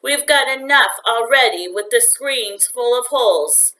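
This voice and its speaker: A woman's voice: a little high, a little slow, sharp tone, voice pushed up, low-quality recording. A woman reading a storybook, voicing a stern, dismissive mother with a dry, scolding manner.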